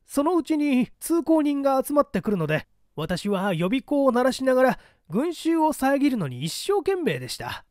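A man's voice: very high-pitched, wildly swooping pitch, moderate pace, few pauses, a little mellow, no echo, studio quality, voice pushed up, full character voice. A man voicing an earnest, shaken traffic policeman who explains politely and emphatically in an audio story. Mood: agitated, recalling.

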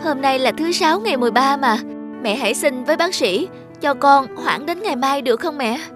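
A high-pitched, whiny student daughter from a cartoon. She is fussy, stubborn and dramatic, with music clearly behind her. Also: animated, a little fast. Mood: pleading. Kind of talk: Vietnamese cartoon dialogue.

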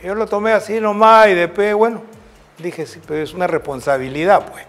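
A man's voice: sits high, fairly quick, fairly bright tone, faint music behind. An older man with a Peruvian Spanish accent, a former football coach telling rambling anecdotes in an interview, often ending with a questioning "right?". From Peru. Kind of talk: storytelling interview.

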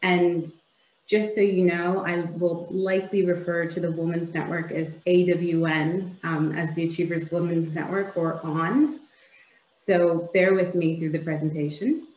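A woman's voice: fairly low pitch, steady tone, a little slow, darkish tone, a little hiss or hum. Woman, Canadian accent, keynote speaker, polished upbeat delivery, video call, roomy echo. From Ontario, Canada. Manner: polished, upbeat presenting with occasional fillers. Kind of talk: presentation over a video call.

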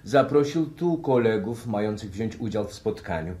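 A man's voice: on the low side, lively intonation, a little mellow, natural voice. A man in a 1960s radio play acting an easygoing, courteous professor who chats without hurry. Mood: relaxed, appreciative. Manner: courteous, chatty, unhurried. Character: genial, easygoing professor fond of cognac.

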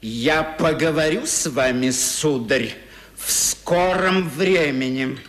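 A sanctimonious middle-aged father in a 1970s radio play. His fairly high voice rises and falls theatrically and very slowly, with unctuous pomposity. The recording is roomy. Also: fairly bright tone. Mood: smug, unctuous.

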